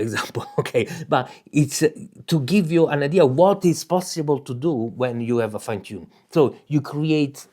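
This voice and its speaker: An Italian-accented man explaining his home AI machine off the cuff. He stops and restarts often, fills gaps with "uh", and laughs lightly at himself. His voice sits at a middle pitch for a man. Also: a little mellow. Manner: halting, many fillers and restarts, laughs often. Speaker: tech video host. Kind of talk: casual unscripted explainer.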